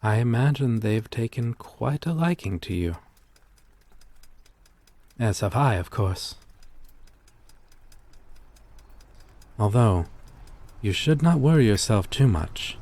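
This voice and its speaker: Man acting a slow, courteous immortal blood creature. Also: medium pitch, animated, no echo. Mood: concerned, confiding. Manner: gentle, formal, old-fashioned phrasing, measured. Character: courteous, melancholy male blood-creature, vampire-like, protective and intimate. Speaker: roleplay voice performer. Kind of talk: ASMR roleplay acting.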